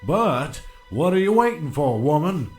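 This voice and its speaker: An actor playing an irate, vengeful captive schemer in an audio drama, with a theatrical, indignant delivery. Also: male voice, a little high, big pitch swings, unhurried, music in the background. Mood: impatient. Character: irate, vengeful schemer, a double-crossed man held captive.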